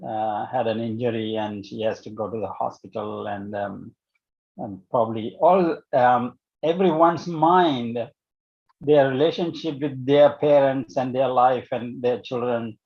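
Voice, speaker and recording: Man on dull online meeting audio, halting. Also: mid-range voice. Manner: halting, wordy, circling back. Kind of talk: discussion feedback in an online meeting.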